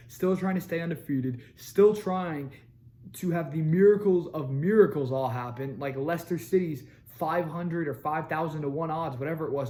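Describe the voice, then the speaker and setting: A young man hosting a sports show, ranting with energy and repeating his points for emphasis, his voice a little raspy from screaming. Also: a little high, dark tone. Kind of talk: fired-up sports commentary to camera.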